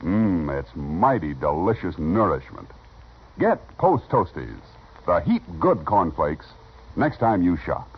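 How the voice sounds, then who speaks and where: A man announcing in 1950s radio style, with folksy, emphatic delivery and a husky voice. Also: low voice, sing-song, slow pace, a little hiss or hum. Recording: muffled old radio recording.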